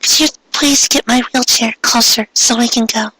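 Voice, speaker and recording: A man voicing distraught teenage girls from a fan fiction story in a wailing, pleading falsetto. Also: fairly even intonation, moderate pace, pushed and bright, slightly rough, dry recording, heavily acted, poor audio. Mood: upset, defensive. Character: distraught teenage girls, tearful, melodramatic heroines. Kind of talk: over-the-top fan fiction dramatic reading.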